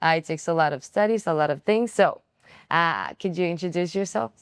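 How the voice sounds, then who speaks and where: A woman's voice: lowish voice, fairly quick, smooth, dry recording. A woman hosting a podcast, friendly and admiring, rambling a little with fillers as she introduces her guests. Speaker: podcast host. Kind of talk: casual interviewing.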